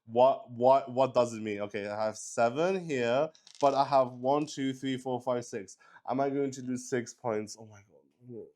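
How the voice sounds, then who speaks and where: A man with a mid-pitched voice talks slowly and casually as he runs a solo tabletop game. He thinks out loud, stopping and starting, often repeating a word before he moves on. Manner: halting, thinking aloud, repeats words; swears casually. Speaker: host playing a solo tabletop roleplaying game. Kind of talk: casual live-stream chat with game narration.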